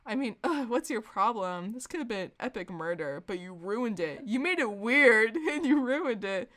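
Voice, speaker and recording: A woman's voice: a little high, expressive, moderate pace, a bit bright, voice pushed up. An exasperated young male vampire, played by a woman in a comic fan fiction reading. He is petulant and indignant, snarling and whining in slangy, dramatic bursts. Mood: livid, whiny.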